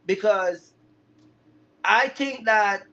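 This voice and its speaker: Male livestream mentor, high-pitched, chatty and emphatic, on dull lo-fi audio. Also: very slow, sharp tone. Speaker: livestream host, trucking business mentor. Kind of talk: casual live chat to viewers. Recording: dull, lo-fi live stream audio.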